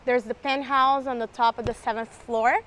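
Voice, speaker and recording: A woman's voice: on the high side, steady tone, moderate pace, a bit bright, low-quality recording. Upbeat woman giving a hotel tour. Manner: upbeat, with frequent "like" fillers. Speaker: hotel events representative. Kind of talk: guided venue tour. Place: hotel venue, indoors and on terraces. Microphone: lapel mic.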